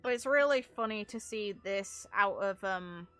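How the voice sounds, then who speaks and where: A woman's voice: medium pitch, unhurried, pushed and bright, clear, resonant tone, soft background music. A woman giving casual, rambling commentary on game lore, informal and a little sweary, with wry asides. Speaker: gaming video creator discussing game lore.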